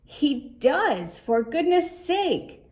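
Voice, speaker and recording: A woman's voice: high-pitched, big pitch swings, drawn-out words, darkish tone, voice pushed up, full character voice. A woman voicing scornful, gossipy townsfolk who disapprove, in a children's storybook. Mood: disapproving. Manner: scornful, exclaiming. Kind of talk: storybook character voice.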